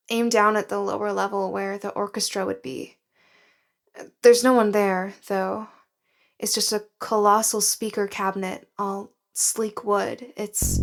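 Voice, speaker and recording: An actress playing a frightened, awed woman explorer in an audio drama, describing her surroundings in hushed, halting phrases. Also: mid-range voice. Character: frightened woman explorer guided over radio, awed and anxious.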